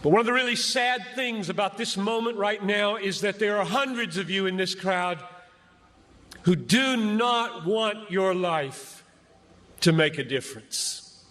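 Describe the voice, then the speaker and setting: A man preaching an impassioned sermon to a crowd as a pastor, speaking emphatically and deliberately with dramatic pauses between short phrases. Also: high voice, fairly bright tone, a little hiss or hum. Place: large gathering before a crowd. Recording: echoey large venue, long reverb.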